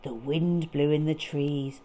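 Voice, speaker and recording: A woman reading a picture book to young children in a dramatic, expressive way with deliberate phrasing. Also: deep voice. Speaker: children's storyteller.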